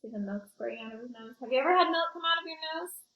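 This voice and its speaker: A woman reading a storybook aloud in an expressive, child-directed way, with chatty asides to her young listeners. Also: high-pitched, quick pace, roomy sound, highs cut off. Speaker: school librarian reading aloud.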